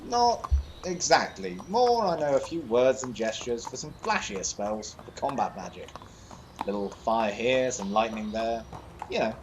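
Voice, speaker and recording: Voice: mid-range voice, animated, a little slow, close to an everyday voice. An actor playing a cocky, wry sword-fighting mage in a fantasy audio drama, speaking casually and boastfully. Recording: noisy night-time cricket ambience behind.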